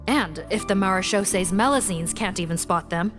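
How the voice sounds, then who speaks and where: A woman's voice: mid-range voice, moderate pace, close to an everyday voice. An actress playing a weary, dutiful woman investigator in a video game, formal and cautious in manner. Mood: frustrated. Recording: game music behind the voice.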